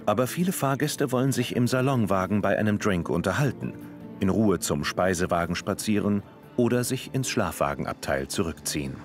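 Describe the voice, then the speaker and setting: A man narrating a travel documentary in a calm, even storytelling manner, reading a script. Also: fairly low pitch, normal speed. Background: music.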